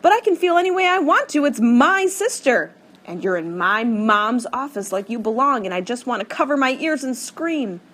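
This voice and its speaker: A woman's voice: fairly high pitch, normal speed, hardly any pauses, pushed and bright, voice pushed up. A woman reading an audiobook, voicing a young girl who is upset about her family, her voice raised and shaking. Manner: shaky, raised voice.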